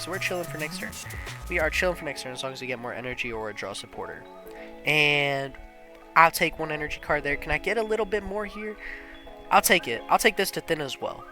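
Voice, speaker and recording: A young man with a slightly sniffly nose from allergies, casually thinking out loud and commentating his own online card game, with slang and sudden excited laughs. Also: on the high side.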